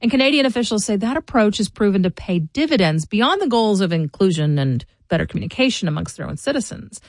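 A middle-aged woman hosting a local news podcast, reading scripted commentary in a measured, informative way. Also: mid-range voice, sing-song, no echo, clean studio sound. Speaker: podcast host.

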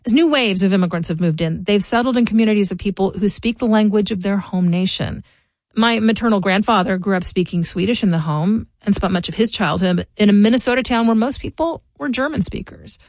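A middle-aged woman hosting a local news podcast, reading scripted commentary in a measured, informative way. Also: medium pitch, no echo. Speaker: podcast host.

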